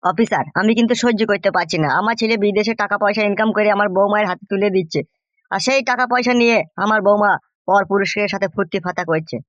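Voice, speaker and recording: A man's voice: high-pitched, fairly even intonation, quick pace, a bit bright, no echo, dull audio. A man voicing a complaining, quarrelsome old village father-in-law in a comic cartoon, crude and argumentative. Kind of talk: exaggerated comic cartoon dialogue.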